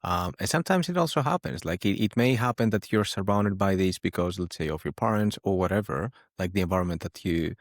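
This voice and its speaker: Man from Greece, podcast host, low voice, dry close sound, casual interview. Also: animated, brisk, few pauses, no echo. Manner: thoughtful, restarts often.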